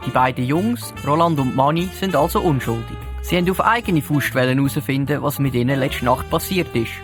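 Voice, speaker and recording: A man's voice: mid-range voice, normal speed. A man with a Swiss German accent narrating an audio play as a scripted storyteller. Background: music.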